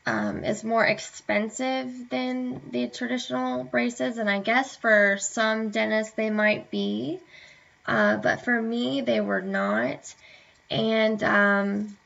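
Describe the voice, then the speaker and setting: Slow, gravelly-voiced young woman vlogging. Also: on the high side, a bit bright. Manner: hesitant, many fillers. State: slightly hoarse, tired. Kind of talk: casual chat to camera. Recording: dull lo-fi webcam audio.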